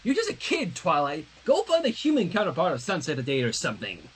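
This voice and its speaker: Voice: mid-range voice, huge pitch swings, quick pace, slightly rough. A reader voicing a studious, earnest young pony princess in human form, lecturing in a stern and emphatic way for a fan story reading. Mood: stern, insistent.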